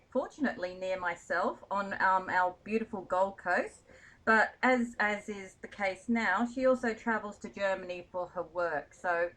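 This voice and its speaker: A woman's voice: mid-range voice, a bit bright, poor audio. A woman hosting a radio interview show, chatty and giggly, often laughing at her own slips. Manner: giggly, self-deprecating, chatty. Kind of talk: casual radio interview chat.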